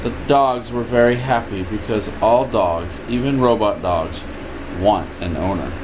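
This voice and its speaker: An older man, a grandfather, reading a picture book aloud in an expressive, informal way with playful asides. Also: on the low side, a little slow. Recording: steady room noise, air conditioning hum.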